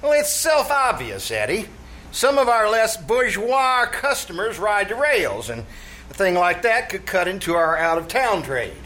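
An actor playing a breezy, wisecracking tavern manager with New slang and mangled grammar in a live old-time radio comedy. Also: male voice, high voice, very animated, a little slow, sharp tone, full character voice. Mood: glib. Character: wisecracking, malapropism-prone male manager of a shabby tavern. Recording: live theater stage with background noise.

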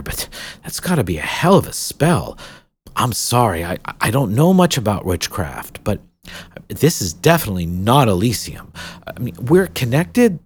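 A man voicing a gushing, welcoming woman who leads a hidden paradise, with a lively, sing-song delivery. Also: a bass voice, breathy edge, pitched above the performer's own voice, heavily acted. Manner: effusive, gushing.

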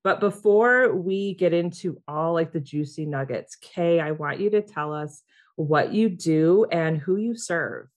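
Female podcast host, lower-pitched, chatty and upbeat. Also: unhurried. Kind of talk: podcast interview.

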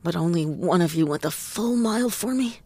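A woman's voice: on the low side, expressive, fairly quick, darkish tone, breathy edge. An actress playing a cocky, wisecracking woman detective in a comic noir audio drama, wry and theatrical. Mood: playful, cocky.

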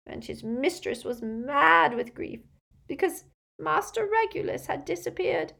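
A woman voicing a frightened, servile old male house-elf in an audiobook, tearful and halting as he speaks of himself in the third person. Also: very high-pitched, sing-song, vocal fry, a bit bright, pitched above the performer's own voice, exaggerated voice. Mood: wretched, despairing. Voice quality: tearful, moaning, breaking voice.

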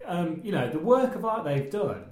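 Man, slightly hoarse, fairly high voice. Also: big pitch swings, moderate pace, darkish tone, echoey room. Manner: enthusiastic, rambling, many restarts. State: losing his voice. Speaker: podcast co-host. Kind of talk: casual film chat.

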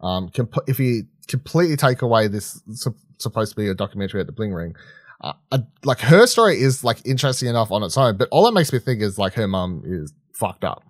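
A man co-hosting a podcast, chatting casually and swearing freely, with a halting, rambling way of talking that often restarts mid-sentence. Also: on the low side, big pitch swings, no room sound, studio quality. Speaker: podcast co-host reviewing film and TV. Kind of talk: casual unscripted chat.

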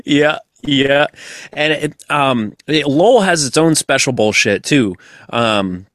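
A man's voice: mid-range voice, takes it slowly, a bit bright, no room sound. A man from Massachusetts co-hosting a podcast, chatting loosely and swearing freely. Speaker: podcast co-host. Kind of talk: casual podcast chat.

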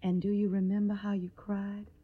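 A woman's voice: mid-range voice, level tone, normal speed, breathy edge, narrow, tinny audio, close to an everyday voice. A woman playing an earnest, tender young farm woman with a Southern accent in a radio drama. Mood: pleading, reproachful.

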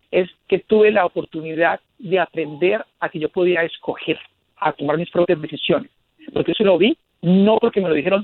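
Middle-aged male interview guest, Colombian accent, rapid and slightly high-pitched, emphatic conversational storyteller. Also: no room sound, phone-quality sound. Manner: emphatic, checks in with rhetorical questions. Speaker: interview guest, homeopathic doctor.

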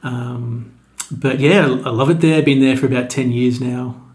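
A man's voice: medium pitch, steady tone, normal speed, a little mellow, soft background music. A man, a pastor chatting as a podcast guest in a friendly, easygoing way. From Australia. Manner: friendly, easygoing, with frequent restarts. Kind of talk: casual interview.

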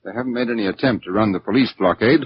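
An actor playing a formal, deliberate, businesslike gentleman in a 1940s radio drama. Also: fairly low pitch, lightly acted. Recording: muffled, noisy 1940s radio recording.

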